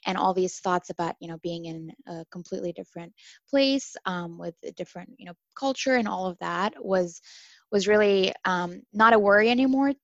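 A woman in her twenties from Syria who grew up in Dubai, a former international student talking casually as a podcast guest, lively and full of fillers. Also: mid-range voice, moderate pace, dry recording, muffled recording. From Syria, raised in Dubai. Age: 20s. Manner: animated, chatty, with many fillers and laughs.

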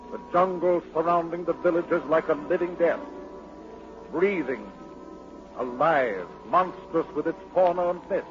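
A man narrating a 1940s radio drama in a measured, dramatic, poetic manner. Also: on the high side. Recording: dull old radio broadcast with music.

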